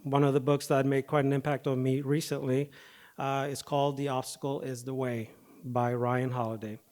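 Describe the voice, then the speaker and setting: A man speaking into a podium microphone at an awards ceremony. His voice sounds slightly affected by recent dental work, and his pitch sits at an ordinary middle level. He reads a prepared speech in a steady, earnest way. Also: normal speed. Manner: hesitant start with fillers, then steady reading of a prepared speech. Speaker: firefighter paramedic and foundation president.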